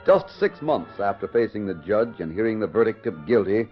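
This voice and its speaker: An actor playing a solemn storyteller, narrating a 1940s radio western in a measured, declamatory style. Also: male voice, on the low side, big pitch swings, faint music behind. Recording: dull old 1940s radio recording.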